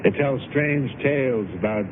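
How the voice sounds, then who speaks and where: An actor playing a courteous, hesitant small-town real estate agent in a 1970s radio drama, polite and measured. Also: male voice, medium pitch, lightly acted. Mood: uneasy, cautioning. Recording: narrow, noisy old radio broadcast.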